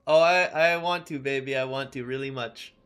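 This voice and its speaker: A man's voice: medium pitch, moderate pace, a bit bright, smooth. A man streaming a video game, chatting casually with his viewers while he plays, rambling easily between game callouts. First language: English. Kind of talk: casual chat while gaming.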